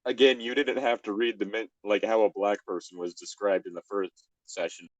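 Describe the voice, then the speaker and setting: Male host, casual comic banter, fairly low voice, dry recording. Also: fairly even intonation, brisk, no room sound, lo-fi sound. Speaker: host of a comedic reading show.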